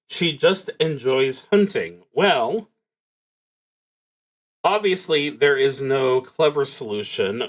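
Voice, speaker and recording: A man narrating a solo tabletop game, reading cards aloud and thinking out loud in a casual, wry way. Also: medium pitch. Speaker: solo roleplaying game streamer.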